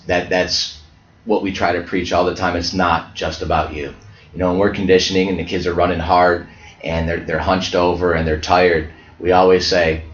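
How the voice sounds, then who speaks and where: A man who coaches high school basketball, from Ohio, speaking earnestly as an interview guest and often restarting his words. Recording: echoey dull lo-fi audio.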